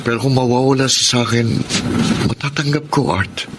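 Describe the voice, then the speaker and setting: An actor playing a self-sacrificing, heartbroken man in a Tagalog radio drama, earnest and halting, with a Filipino accent. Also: mid-range voice, natural voice. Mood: heartbroken, sorrowful. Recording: heavy background noise.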